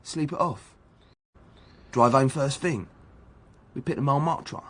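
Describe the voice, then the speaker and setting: Radio drama role: cocky London footballer under police questioning. Slightly husky, slangy, defensive and evasive. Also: male voice, medium pitch, takes it slowly, a bit bright. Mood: evasive, blustering. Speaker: actor. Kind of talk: naturalistic radio drama acting.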